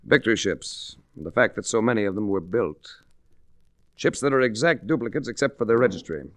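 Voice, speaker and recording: Hard-boiled radio adventurer, low pitch, very animated, dry sound, wry, 1940s detective style. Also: male voice, fairly quick, no room sound. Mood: probing. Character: wisecracking tough male adventurer-investigator narrating his own case. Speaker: lead actor and narrator. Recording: restored 1940s radio recording.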